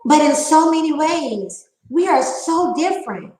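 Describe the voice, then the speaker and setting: A woman's voice: sits high, expressive, a little slow, darkish tone, roomy sound, poor audio. A woman nearing fifty giving a candid opinion monologue, emphatic and repeating her phrases for effect. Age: late 40s. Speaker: online panel talker.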